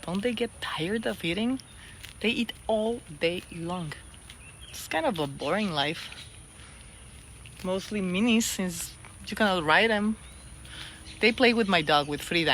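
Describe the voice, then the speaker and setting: A woman's voice: medium pitch, a bit bright, a little hiss or hum. A middle-aged woman from Mexico, a TV host whose first language is Spanish, chatting openly in English with a Mexican Spanish accent and joking about her own English. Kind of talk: casual vlog chat.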